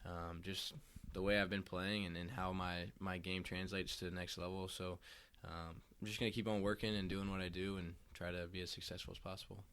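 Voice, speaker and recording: A young man with a low voice answers interview questions in an even, flat delivery. He talks haltingly and restarts his phrases, repeating words as he goes. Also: clear, resonant tone. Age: young adult. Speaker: college basketball player.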